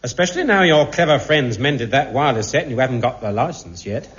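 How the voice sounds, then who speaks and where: An actor playing a polite, formal, slyly knowing police constable in a 1950s radio sitcom. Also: male voice, mid-range voice, animated, normal speed, fairly bright tone, lightly acted. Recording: muffled hissy 1950s radio broadcast.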